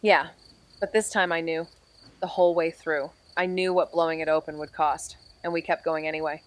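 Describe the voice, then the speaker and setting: A woman's voice: low-pitched, fairly even intonation, normal speed, natural voice. An actress playing an earnest, goofy young woman detective in a naturalistic audio drama, with casual, slangy, playful delivery. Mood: sober. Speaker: lead actor in an audio drama. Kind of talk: naturalistic podcast drama acting.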